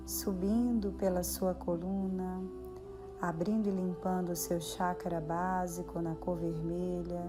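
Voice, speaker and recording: A Brazilian woman leading a guided meditation in a soothing, measured way with long pauses. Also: on the low side, level tone. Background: background music.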